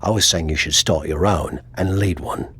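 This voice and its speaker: A man's voice: low-pitched, normal speed, a bit bright, breathy edge, dry recording. A man playing a frank, supportive friend and adviser in a wrestling video game, speaking earnestly and conversationally. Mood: earnest, urging.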